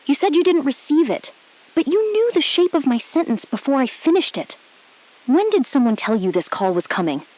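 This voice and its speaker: A woman playing a resolute, shrewd astronaut in an audio drama, speaking in a controlled, deliberate way and repeating phrases for emphasis. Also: sits high, very animated, warm tone, poor audio. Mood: accusing. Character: resolute, shrewd female astronaut exposing a cover-up. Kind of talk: scripted audio drama, hushed dramatic phone-call acting.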